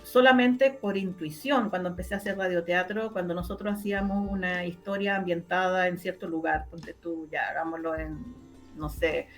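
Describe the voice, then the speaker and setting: A woman with a Chilean Spanish accent explaining her own work as an interview guest, in an animated, conversational way. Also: lowish voice, normal speed, soft background music. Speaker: interview guest, radio drama producer. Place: online video interview.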